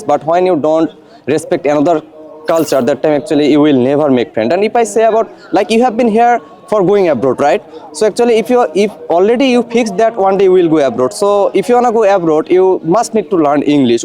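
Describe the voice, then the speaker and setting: A man's voice: a little high, mellow. A man whose first language is Bengali, leading a spoken English practice conversation, hesitant and repeating phrases as he searches for words. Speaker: English teacher.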